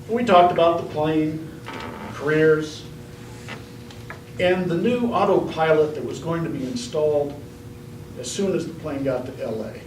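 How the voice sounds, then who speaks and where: A man telling a tall tale as a speech contestant, in a measured, deadpan comic storytelling style. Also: on the high side. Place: indoor room at a speech contest. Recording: roomy, distant mic, faint noise.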